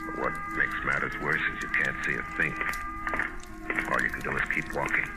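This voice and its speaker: A hard-boiled detective speaks in a deep voice over prominent music. He is world-weary, terse and wry, in the manner of 1950s radio noir. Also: huge pitch swings, fairly quick, gravelly, heavily acted, rough recording. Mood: tense, brooding. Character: hard-boiled, cynical, tough male private detective. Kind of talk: 1950s radio noir acting and second-person narration. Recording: old radio recording with music.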